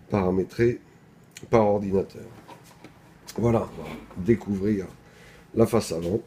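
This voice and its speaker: A man with a low, mellow voice walks through a piece of equipment. He explains each step methodically, as in an informal product demo. There is faint noise behind him. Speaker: product presenter. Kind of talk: unscripted technical product demonstration.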